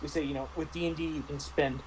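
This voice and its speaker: A man in a casual panel discussion about roleplaying games, speaking haltingly as he thinks aloud. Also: on the high side, fairly even intonation, a little hiss or hum, low-quality recording. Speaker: tabletop game master and podcast panelist.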